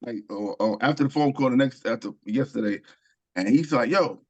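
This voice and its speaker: A man's voice: medium pitch, moderate pace, darkish tone, highs cut off. A man from Queens, New York, telling stories as an interview guest, rambling with many ums and restarts. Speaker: author and screenwriter guest. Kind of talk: casual interview storytelling.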